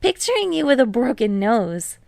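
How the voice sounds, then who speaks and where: Woman as teen boy, raised pitch, teasing, anxious, expressive, audiobook character, dry sound. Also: normal speed, vocal fry, no echo. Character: teenage boy, friendly, teasing, anxious volleyball player.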